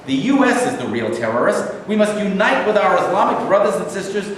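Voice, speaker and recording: A man's voice: sits high, lively intonation, brisk. A man playing an earnest socialist activist in a staged reading, making declamatory, sloganeering speeches. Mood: indignant. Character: earnest, preachy socialist activist leading a meeting. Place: live staged reading in a reverberant room. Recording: very echoey hall from far off, faint noise.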